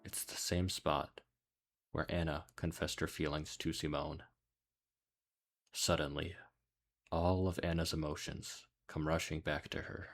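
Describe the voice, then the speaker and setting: A man's voice: extremely low pitch, little pitch movement, measured pace, long pauses, soft background music. A man reading scripted narration, retelling a story.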